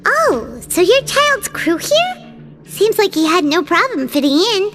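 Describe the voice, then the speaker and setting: A woman voicing a small, childlike, excitable fairy companion in a video game, with a squeaky, expressive delivery. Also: measured pace, heavily acted. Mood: impressed. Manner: speaks of herself in third person, expressive. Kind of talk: video game cartoon voice acting. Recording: loud music behind voice. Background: game music.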